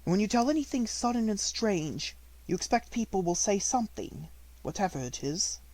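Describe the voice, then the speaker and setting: A man's voice: high-pitched, animated, moderate pace, darkish tone, voice pushed up, low-quality recording. A man voicing a flustered, uneasy woman in an audiobook story, fussy and wondering in manner. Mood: uneasy, bewildered. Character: flustered, uneasy woman telling of a strange child.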